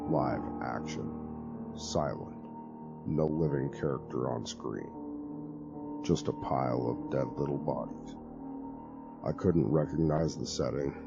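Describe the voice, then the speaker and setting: A man with a very deep, gravelly voice reads a horror story aloud in a grave, hushed manner. Music plays clearly behind him, and the recording sounds dull and lo-fi. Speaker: narrator. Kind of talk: dramatic horror story reading. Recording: lo-fi with music underneath.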